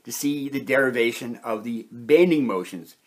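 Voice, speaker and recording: A man with a husky, medium-pitched voice teaches like a lecturer at a whiteboard. He moves step by step in a deliberate, methodical way, leaving short pauses between phrases. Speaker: chemistry lecturer, presenter. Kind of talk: step-by-step instructional explanation at a whiteboard.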